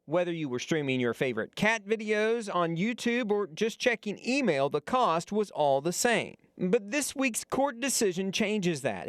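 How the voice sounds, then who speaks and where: A man's voice: high voice, no echo, studio quality. A man reading a scripted television news report.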